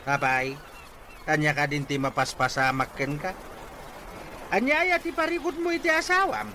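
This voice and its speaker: High-pitched, scolding old father. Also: normal speed, sharp tone, a little hiss or hum. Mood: exasperated scolding. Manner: blustering, emphatic scolding. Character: scolding older father, exasperated with his grown son. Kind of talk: radio comedy-drama acting.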